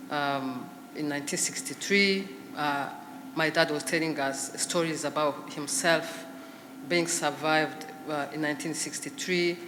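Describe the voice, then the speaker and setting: A woman gives her testimony as a genocide survivor, speaking haltingly with many pauses and fillers at a podium microphone. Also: low-pitched. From Rwanda. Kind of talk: personal testimony speech. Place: large commemoration hall. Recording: echoey hall with faint background noise.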